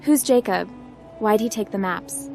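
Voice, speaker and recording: A woman's voice: medium pitch, measured pace, gravelly, darkish tone. Lady playing a confident wry hacker girl in a game roleplay, cool and direct, faint music behind. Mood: curious. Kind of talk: scripted roleplay voice-over for video game.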